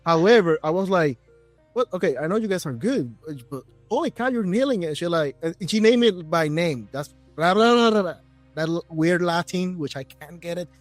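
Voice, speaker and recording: A man hosting an interview, excitable and exclamatory, with slightly broken phrasing, a native Spanish speaker. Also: sits high, normal speed, no room sound, faint music behind. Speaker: podcast host. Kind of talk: casual interview questions.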